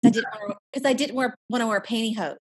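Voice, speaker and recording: Woman, podcast host, husky voice, upbeat, encouraging, video call. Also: on the high side, quick pace. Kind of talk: casual interview chat.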